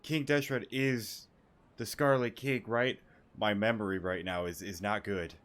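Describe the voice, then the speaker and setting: A man's voice: fairly low pitch, fairly even intonation, unhurried, a bit bright. A young man giving casual, rambling, joking reaction commentary as he plays a game. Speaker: gaming video host. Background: game music.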